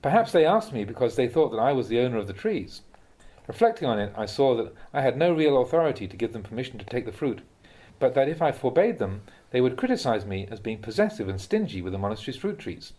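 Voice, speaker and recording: Man reading spiritual teachings, measured delivery. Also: medium pitch, sing-song, mellow. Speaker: reader of translated Buddhist teachings. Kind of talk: audiobook-style reading of spiritual talks.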